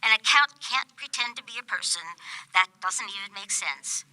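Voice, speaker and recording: A woman's voice: medium pitch, expressive, normal speed, pushed and bright, poor audio. A woman in a radio comedy playing a polite, obtuse, unflappable call-centre operator. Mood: obliviously helpful. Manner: polite, unflappable customer-service patter. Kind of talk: radio play comedy acting.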